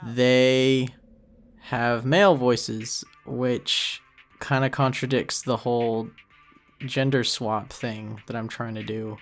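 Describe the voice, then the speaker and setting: A man's voice: mid-range voice, level tone, takes it slowly. A man giving casual creator commentary, rambling hesitantly and often correcting himself. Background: episode audio and music.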